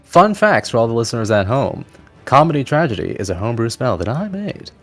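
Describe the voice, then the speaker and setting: A man's voice: medium pitch, very animated, fairly quick, soft background music. A man narrating a tabletop roleplaying game as game master, in a casual, plain-spoken way.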